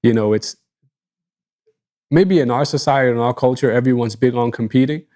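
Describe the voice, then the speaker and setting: Relaxed man with a mellow voice. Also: mid-range voice, moderate pace. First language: Arabic. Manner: relaxed, enthusiastic, casual slang. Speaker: podcast co-host. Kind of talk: casual business chat.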